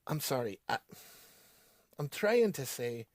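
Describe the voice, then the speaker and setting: Audio drama: earnest local bookseller, deliberate pauses, medium pitch, close dry recording. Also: male voice, sing-song, no room sound. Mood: grave, reluctant. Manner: measured, deliberate, with pauses and throat-clearing. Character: earnest, sympathetic small-town bookseller breaking hard news.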